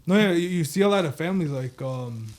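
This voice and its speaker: A man's voice: on the high side, animated, quick pace, darkish tone. Laughing bilingual man in his thirties. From Chicago suburbs, US. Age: 30s. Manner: mixes English and Spanish, laughs often. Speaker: party promoter. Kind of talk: casual podcast chat.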